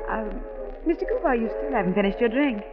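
A woman's voice: a little high, animated, fairly quick, over music, natural voice. A woman playing a poised, scheming train passenger in an old-time radio mystery. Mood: polite, charming. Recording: tinny vintage radio recording.

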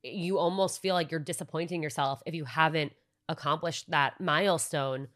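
A woman's voice: low voice, normal speed, fairly bright tone. A young woman co-hosting a podcast, talking in a reflective, reassuring way and building long points in casual chat. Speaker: podcast co-host.

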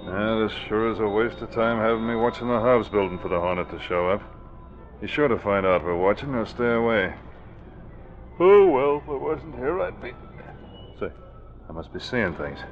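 A man's voice: lowish voice, a little fast, faint music behind, close to an everyday voice. An actor playing a bored male police officer grumbling to himself while on watch, in a dull old radio recording. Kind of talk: 1940s radio acting.

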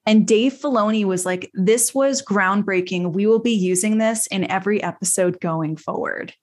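A woman co-hosting a podcast, talking casually about a TV series in an enthusiastic, expressive way. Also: mid-range voice, normal speed. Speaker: podcast co-host.

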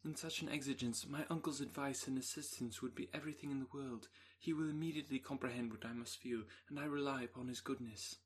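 Male reader voicing a gentle young woman's letter, composed, husky. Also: medium pitch, fairly even intonation, fast talker, few pauses, lightly acted. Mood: anxious.

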